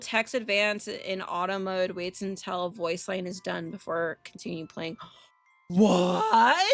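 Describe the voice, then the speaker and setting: A woman hosting a casual game livestream, chatting bluntly and irreverently and reading on-screen text aloud in an animated way. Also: mid-range voice. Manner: foul-mouthed, irreverent, blunt. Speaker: live streamer hosting a visual novel playthrough.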